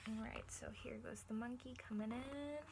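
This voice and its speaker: A woman teacher reading a picture book to children, encouraging them and pausing to explain things simply and ask questions. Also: fairly high pitch, creaky, noisy background. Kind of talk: read-aloud lesson with questions to young listeners.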